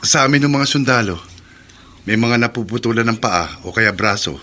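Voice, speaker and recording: A man's voice: mid-range voice, animated, normal speed, bright, forceful tone, slight background noise. An actor in a Filipino radio drama playing a respectful soldier who cares for his family. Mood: earnest, caring. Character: caring soldier, respectful son and protective brother.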